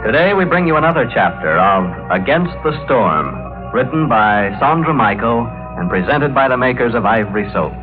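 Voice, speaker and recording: A man introducing a vintage radio soap opera in measured, formal announcer narration. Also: medium pitch, sing-song. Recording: tinny vintage radio, music behind.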